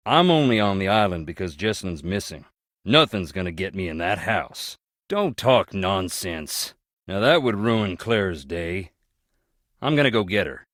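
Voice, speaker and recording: Mystery game role: brooding Southern man, deepish voice, close dry sound, blunt folksy warnings, haunted by family tragedy. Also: expressive, measured pace, a bit bright, no room sound. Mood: blunt, defensive. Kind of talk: video game character dialogue.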